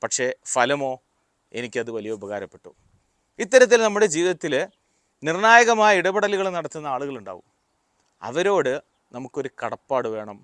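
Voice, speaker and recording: Man, fairly high pitch, dry recording, motivational storytelling, deliberate pauses. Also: very animated, a bit bright, no room sound. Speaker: motivational speaker. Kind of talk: motivational talk with personal anecdotes.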